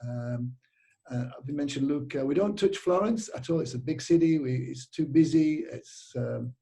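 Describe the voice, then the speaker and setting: A middle-aged man with a British accent talks casually about his business. His voice sits a little high but sounds dark and mellow. He rambles along with plenty of ums and restarts. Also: moderate pace, vocal fry. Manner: rambling, frequent fillers and restarts. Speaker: cycling tour operator. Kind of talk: casual interview.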